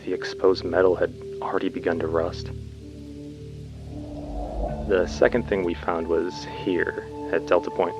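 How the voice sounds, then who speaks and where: A man's voice: low voice, level tone, a little slow, pauses often, highs cut off, over music. A man playing a weary, uneasy colonist recording a subdued, brooding field message in a sci-fi audio drama. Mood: tense, grim.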